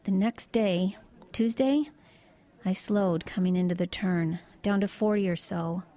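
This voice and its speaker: A woman acting as a female truck driver in an audio drama, with a soft, mellow voice at a middling pitch. She tells her eerie story matter-of-factly and with understatement, pausing to search for the right words. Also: natural voice. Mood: quiet, wistful.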